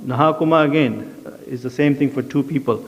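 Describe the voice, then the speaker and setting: A man's voice: medium pitch, a little fast. A man with an Urdu accent teaching a Quran class, reciting Arabic verses and translating them line by line, often checking in with his students. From South Asia. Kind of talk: classroom lesson explaining verses. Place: mosque education centre classroom. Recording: dull sound, long hall reverb.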